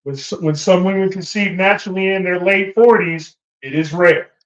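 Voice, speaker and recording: A man's voice: sits high, normal speed, fairly bright tone. A man chatting and debating casually as a talk show panelist, often hesitating and repeating himself. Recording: echoey room, dull lo-fi sound.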